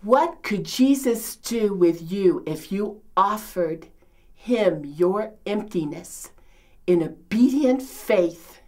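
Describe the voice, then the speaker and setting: A woman's voice: mid-range voice, expressive, slow, a little mellow. Theatrical old New York mother-in-law, acted by a woman. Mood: earnest, reverent. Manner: theatrical, complaining, martyred, swooping delivery. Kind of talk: comedic dramatic monologue, performed.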